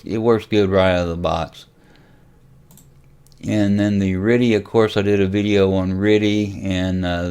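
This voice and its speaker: Low-voiced man giving a casual software walkthrough. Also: clear, resonant tone. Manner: unscripted, hesitant, thinking aloud as he goes. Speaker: tutorial host and amateur radio hobbyist.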